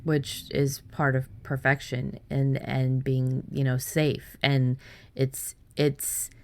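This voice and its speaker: A woman's voice: extremely low pitch, level tone, slow pace. A woman hosting a podcast, talking in a blunt, casual way with free swearing and jokes. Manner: blunt, swears freely, jokey. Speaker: podcast host.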